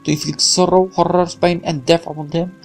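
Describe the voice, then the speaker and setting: A man voicing a grandiose wartime propaganda minister in a game, declaiming a solemn, loud political speech. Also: a little high, little pitch movement, a little fast, vocal fry. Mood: defiant, accusing. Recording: music under the voice.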